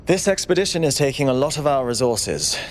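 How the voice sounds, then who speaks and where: Man playing a cautious android bureaucrat, animated pitch. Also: fairly quick, a little hiss or hum, close to an everyday voice. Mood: weary, disapproving. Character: cautious, overworked male android bureaucrat, chief aide. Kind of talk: video game dialogue.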